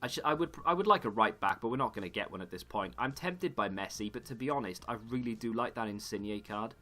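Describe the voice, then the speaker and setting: Gaming host, man in his thirties, bright tone, slightly hoarse, rambling and self-correcting, faint music behind. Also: on the low side, lively intonation, fast. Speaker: gaming YouTuber. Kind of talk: casual gaming commentary.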